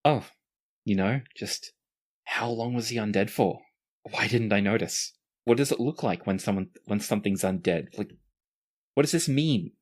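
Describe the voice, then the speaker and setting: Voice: mid-range voice, moderate pace, darkish tone, natural voice. Game master as Max, a weary, questioning adventurer. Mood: bewildered. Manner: blunt, questioning, sweary.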